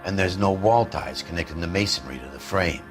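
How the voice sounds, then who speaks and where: An actor playing a gruff, terse police detective partner in a video game, matter-of-fact in delivery. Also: male voice, low voice, a little fast, over music, natural voice.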